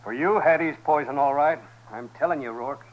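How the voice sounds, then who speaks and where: An American actor playing a hot-tempered, callous outlaw in a 1950s radio western, with rough, rustic speech. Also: male voice, on the high side, moderate pace, lo-fi sound, close to an everyday voice. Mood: jealous, threatening. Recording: noisy vintage radio recording.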